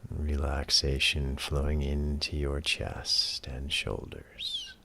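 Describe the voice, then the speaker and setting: Man, yoga relaxation guide, slow delivery, crisp bright edge, calm, measured, soothing. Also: a bass voice, a little hiss or hum. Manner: calm, measured, soothing instruction with long pauses. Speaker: yoga and meditation teacher.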